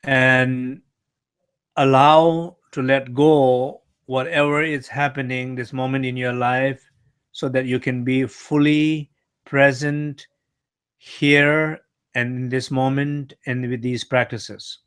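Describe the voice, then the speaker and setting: Male meditation teacher, non-native English speaker, very unhurried delivery, mid-range pitch, gentle short phrases with pauses. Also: level tone, clean tone. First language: not English. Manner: gentle, halting, pausing between short phrases. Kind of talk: guided meditation instruction.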